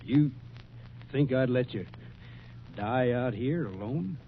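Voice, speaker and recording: Folksy American prospector, thin old-radio sound. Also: male voice, medium pitch, expressive. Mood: concerned. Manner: folksy, halting rustic speech. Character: Smitty, remorseful, loyal male desert prospector. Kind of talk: old-time radio western acting. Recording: muffled, narrow-band old radio, faint noise.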